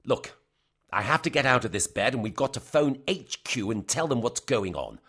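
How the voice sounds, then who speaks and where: An actor with an English accent playing a weary, exasperated male spy in a comic radio play, expressive in narration and dialogue. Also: mid-range voice, normal speed, husky. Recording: music behind the voice.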